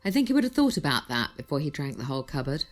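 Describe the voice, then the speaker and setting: An actress playing a sharp-tongued, distraught widow in a podcast drama, snappish and emotional. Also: female voice, low voice, very animated, racing, faint music behind. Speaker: actor in audio drama.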